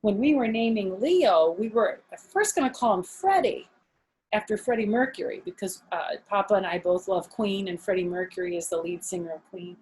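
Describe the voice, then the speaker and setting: A woman's voice: mid-range voice, normal speed, a little mellow, low-quality recording. Older woman reading stories to children. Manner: explains words plainly to young listeners. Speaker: grandmother reading a picture book to children. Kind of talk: storybook read-aloud with simple explanatory asides.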